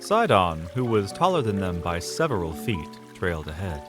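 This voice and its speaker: A male narrator with a fairly low voice and lively, swinging intonation. He delivers scripted fantasy storytelling in a dramatic style, with music clearly playing beneath him. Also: moderate pace. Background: music under the voice.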